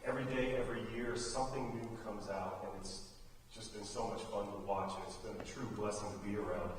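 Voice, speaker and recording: An American man giving an informal, folksy speech as a theater director honoring students, halting and often repeating phrases. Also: fairly low pitch, fairly even intonation. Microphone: distant microphone. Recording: very echoey hall, distant mic, faint noise.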